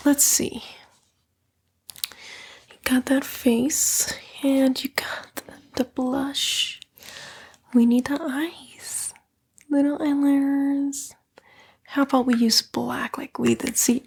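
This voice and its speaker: A demon temptress played by a woman whispers in an ASMR roleplay, very breathy and high. She is a fallen angel who coaxes and condescends at an unhurried pace, vain and sly. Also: darkish tone, exaggerated voice.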